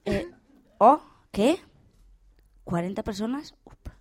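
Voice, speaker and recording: An actress playing a formal but easily flustered studio anchor in a radio drama parody of a news broadcast. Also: female voice, fairly high pitch, big pitch swings, takes it slowly, vocal fry, full character voice.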